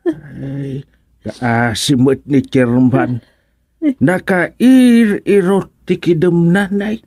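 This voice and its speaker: A man's voice: fairly high pitch, very slow, a little mellow, dry recording, studio quality, heavily acted. A man with an Ilocano accent playing a concerned, hesitant village visitor in a radio drama. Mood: lamenting. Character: slow-talking concerned villager visiting the ailing village chairman.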